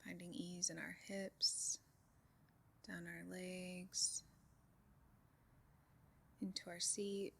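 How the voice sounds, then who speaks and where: A woman leading a guided meditation in a calm, soothing way, giving soft instructions with unhurried pauses. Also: lowish voice, creaky, a little hiss or hum. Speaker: meditation group leader.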